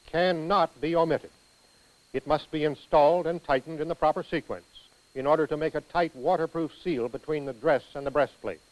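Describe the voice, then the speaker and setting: An American man reading scripted instructional narration for a training film in a precise, measured manner. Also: a little high, poor audio.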